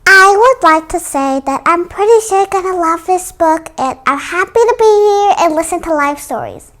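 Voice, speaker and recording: A woman's voice: very high-pitched, expressive, moderate pace, fairly bright tone, pitched above the performer's own voice. A woman voicing a small cuddly toy friend, cheerful, childlike and eager, for a children's storytime.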